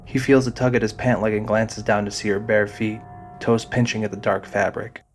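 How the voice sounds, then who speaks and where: A man giving a scripted, deliberate narration of a fantasy audio drama. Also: lowish voice, little pitch movement, soft background music.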